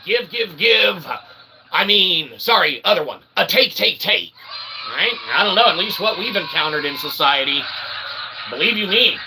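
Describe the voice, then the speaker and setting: A man's voice: high-pitched, lively intonation, moderate pace. A man hosting a comedic internet show in a rambling, tongue-in-cheek, mock-grandiose and wordy way, on a noisy home recording.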